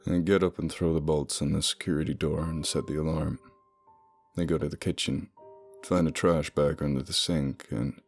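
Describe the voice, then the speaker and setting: A man narrating a first-person soldier horror story in a measured, low storytelling manner. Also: vocal fry, a little mellow.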